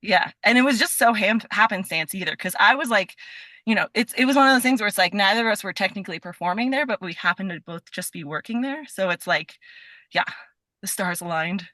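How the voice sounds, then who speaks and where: Female podcast guest, chatty and keen, bright delivery, very dry sound, informal "like"-heavy talk. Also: mid-range voice, brisk, no echo. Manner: chatty, enthusiastic, heavy use of "like". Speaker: podcast guest, stage performer and voice actor. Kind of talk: casual interview chat.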